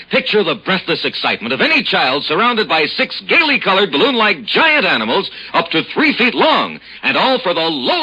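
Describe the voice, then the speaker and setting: High, very sharp-voiced radio pitchman. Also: husky, poor audio. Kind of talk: excited old-time radio sales pitch.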